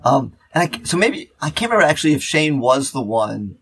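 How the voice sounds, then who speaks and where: A man chatting casually as a podcast host, in a halting, rambling way full of fillers. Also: mid-range voice, big pitch swings, fairly bright tone, husky. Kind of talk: casual chat.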